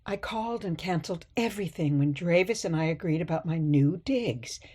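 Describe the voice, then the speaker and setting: A woman playing a sweet, gently stubborn elderly mother in a radio play, coaxing and chiding softly. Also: lowish voice, lively intonation, brisk, slightly rough. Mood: meek.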